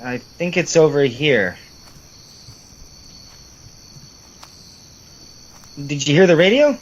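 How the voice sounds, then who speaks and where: A man's voice: fairly high pitch, big pitch swings, normal speed, lo-fi sound, a little hiss or hum. A man playing a grumbling, teasing brother in an audio drama, sarcastic and irreverent. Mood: casual. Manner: sarcastic, complaining.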